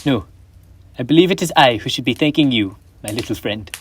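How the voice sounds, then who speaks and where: A man's voice: mid-range voice, animated, a little fast, slightly rough. A teenage boy playing a reformed, now generous old miser in a school stage play, speaking earnestly with formal old-fashioned phrasing. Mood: kind. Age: teens.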